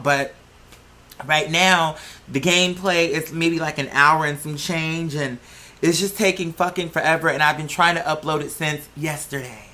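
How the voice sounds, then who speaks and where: An American vlog host chatting casually and emphatically, with slangy, sweary, animated rambling. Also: medium pitch. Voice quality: slangy speech peppered with "girl" and swearing. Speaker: host of a talk show vlog. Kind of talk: casual opinionated solo chat.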